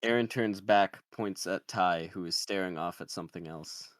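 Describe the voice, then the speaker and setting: A man's voice: low voice, normal speed, no echo, clean studio sound. A man reading screenplay stage directions as scripted narration.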